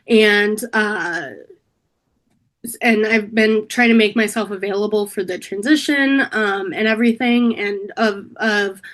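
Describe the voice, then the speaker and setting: Woman, middle-aged, slightly hoarse from a cold, reflective, halting with ums, interview guest. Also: mid-range voice, a bit bright. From California. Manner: reflective, many ums and restarts. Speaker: interview guest, political staffer. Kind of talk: casual interview conversation.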